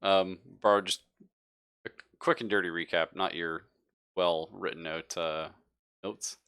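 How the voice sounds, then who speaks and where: A man's voice: deep voice, steady tone, a little slow, fairly bright tone, clean tone. A man speaking as a game master, bantering casually with his players in a jokey, profane way. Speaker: game master of a tabletop RPG stream.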